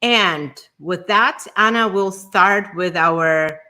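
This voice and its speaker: A woman's voice: on the low side, takes it slowly, sharp tone. A woman co-hosting a live stream, giving conversational startup advice as a founder. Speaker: show co-host and startup founder.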